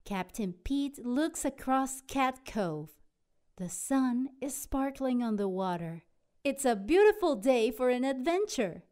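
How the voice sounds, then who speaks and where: A woman narrating a children's storybook aloud in an expressive, dramatic way. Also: high-pitched, slow. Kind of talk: animated children's read-aloud.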